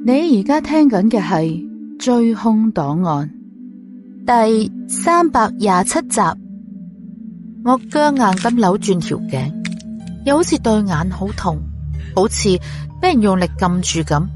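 A woman's voice: mid-range voice, big pitch swings, a little slow, pauses often. A woman narrating a scripted audiobook story. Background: music.

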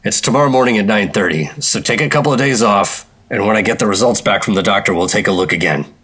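Casting: stubborn, skeptical 1950s American secret operative, low, forceful, dry delivery, radio drama. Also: male voice, fast, slightly rough. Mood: firm, insistent. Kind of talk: radio drama acting with noir narration.

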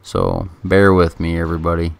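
A man giving casual, laid-back video game commentary in a halting, chatty way, close to the microphone. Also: deep voice, flat delivery, gravelly. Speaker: gameplay commentator. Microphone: microphone held very close. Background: game music.

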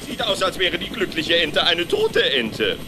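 A man's voice: fairly high pitch, sing-song, measured pace, noisy background. An actor playing a carefree, bumbling male duck pilot in a German cartoon radio play, talking in a breezy, cheerful way.

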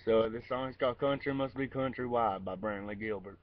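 A man's voice: medium pitch, fairly quick, distant mic, a little hiss or hum. Young man chatting on a lo-fi webcam outdoors. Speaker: amateur singer. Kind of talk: casual vlog with sung cover. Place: outdoors in a wooded yard. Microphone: webcam at close range, wearing headphones.

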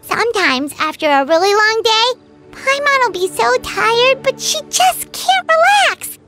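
A woman voicing a small, excitable, childlike fairy companion in a video game, perky in manner. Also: extremely high pitch, measured pace, soft background music, exaggerated voice. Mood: weary, relatable. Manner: speaks of herself in third person, perky. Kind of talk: video game character acting.

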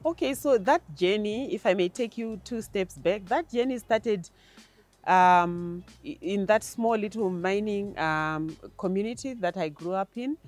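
A woman's voice: mid-range voice, measured pace, a little mellow, soft background music. A middle-aged woman with a Zimbabwean accent, an interview guest telling her personal story outdoors on a lapel mic. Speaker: interview guest, country representative. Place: garden, outdoors.

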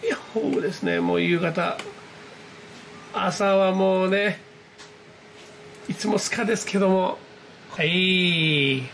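A man giving casual, excited live commentary as a hobby vlogger, reacting aloud in exclamations as he goes. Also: high voice, slow, creaky, pauses often, slight background noise, rough recording. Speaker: amateur radio operator hosting his own video. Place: indoors at a desk, filming a computer screen.

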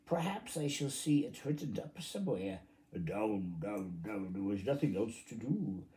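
A man in his fifties reading a children's story aloud in a halting, rambling, theatrical way, stumbling over words and repeating them. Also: fairly low pitch, big pitch swings, mellow. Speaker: amateur storybook reader. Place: living room at home, indoors. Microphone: phone at arm's length.

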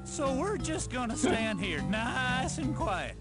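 A man's voice: very high-pitched, big pitch swings, slow pace, exaggerated voice. A man playing a contemptuous police officer in a video game, commanding, sarcastic and condescending. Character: bossy, contemptuous male city police officer. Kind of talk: video game cutscene acting. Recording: loud game music underneath.

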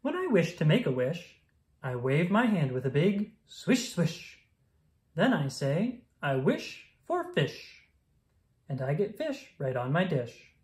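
A woman's voice: sits low, sing-song, slow, a little mellow, reverberant, full character voice. A woman voicing a proud, wishful storybook creature in showy rhyming speech. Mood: proud, cheerful.

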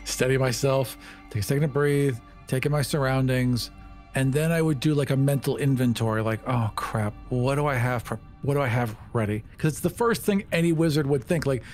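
A man's voice: mid-range voice, fairly quick, darkish tone. A man playing a tabletop game, chatting casually and thinking his moves through aloud. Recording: music underneath. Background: background music.

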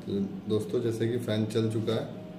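A man with a fairly low voice presents an informal demonstration for a video channel. He talks viewers through each step in an instructional way, addressing them as friends. Faint music plays behind him. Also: flat delivery, moderate pace. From India.

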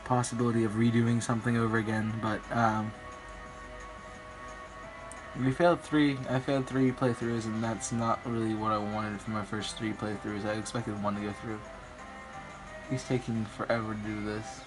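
A slightly raspy young man, a bit sick, commentating his online game battle in a rambling, hesitant way with many ums. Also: fairly low pitch, level tone, normal speed, rough recording. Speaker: gaming YouTuber. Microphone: phone filming a screen. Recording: music playing behind.

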